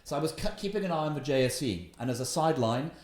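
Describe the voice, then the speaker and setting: A man's voice: on the high side, expressive, quick pace, a little mellow. Welsh man in his fifties, echoey room. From Wales. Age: 50s. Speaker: investment guest. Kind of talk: storytelling in an interview.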